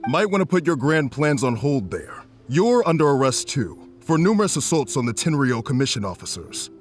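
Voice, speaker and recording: A man's voice: mid-range voice, very animated, normal speed, natural voice. A man playing a stern commission officer in a video game, speaking in a formal, authoritative way. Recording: music under the voice.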